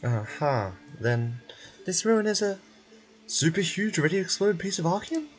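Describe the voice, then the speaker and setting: Man as small childlike game fairy: squeaky high voice, excitable, quick to question and fret. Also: faint music behind, low-quality recording. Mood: puzzled. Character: small childlike excitable female fairy companion, easily alarmed.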